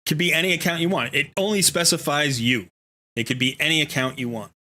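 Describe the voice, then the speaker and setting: A man's voice: fairly high pitch, fairly quick, bright, forceful tone. A man hosting a gaming livestream, chatting casually in a jokey, talkative way. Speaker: livestream host.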